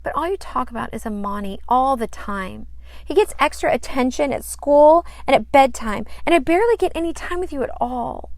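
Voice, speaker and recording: Woman voicing an indignant, high-pitched little girl. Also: lively intonation, moderate pace, a little mellow, no room sound. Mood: pouty, hurt. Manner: emphatic, petulant delivery. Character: indignant, pouty, proud little schoolgirl. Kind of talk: children's storybook character voice.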